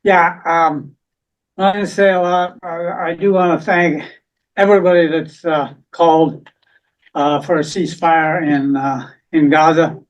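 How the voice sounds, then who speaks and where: Soft-spoken man, hesitant report. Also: fairly high pitch, fairly even intonation, a little slow, far from the mic. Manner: hesitant, many fillers. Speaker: anti-war team lead. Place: meeting room. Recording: dull, muffled audio.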